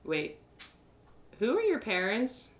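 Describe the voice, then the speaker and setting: Woman acting young adventurer girl, awkward n earnest, very expressive pitch, audio drama. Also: slow pace, heavily acted. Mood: curious, awkward. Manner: hesitant, rambling, emotional.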